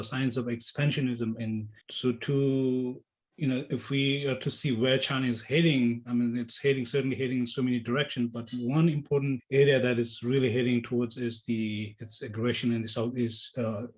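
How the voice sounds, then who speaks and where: A man from Nepal speaks as an activist guest in an interview. His voice sits at an ordinary middle pitch, and he talks haltingly, full of fillers and restarts. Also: darkish tone. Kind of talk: remote interview answer.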